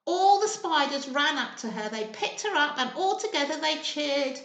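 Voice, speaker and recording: A woman reading a children's story in an expressive, sing-song, encouraging way, pausing to put questions to her young listeners. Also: sits high. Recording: echoey room sound.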